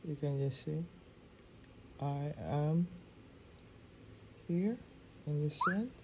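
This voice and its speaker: A man's voice: a little high, expressive, very slow, gravelly, a little hiss or hum. A man giving a casual narrated demo as he reviews a phone app.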